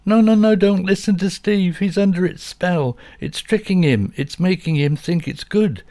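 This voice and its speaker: Bobby the dog: very high, loud, frantic little female pup, as a male reader puts her on. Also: moderate pace, no echo. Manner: frantic yapping, barks aloud. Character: excitable young female pet dog.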